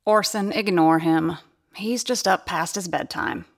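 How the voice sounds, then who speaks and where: A woman's voice: lowish voice, measured pace, background noise. An actress playing a knowing, teasing woman of a theatre troupe, sardonic in manner, in a period audio drama.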